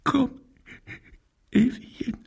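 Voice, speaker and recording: An actor playing an evasive, bigoted, self-pitying murderer making a halting, defensive confession in a German radio crime drama. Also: male voice, a little mellow. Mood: hushed, bleak. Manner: halting, defensive, wavering between muted and agitated.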